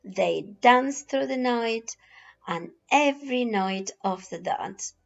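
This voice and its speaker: A woman narrating a children's picture book expressively and deliberately, with dramatic pauses and drawn-out phrases. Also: fairly high pitch, animated, vocal fry. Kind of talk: slow expressive storybook narration. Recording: dull lo-fi recording with music behind. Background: music.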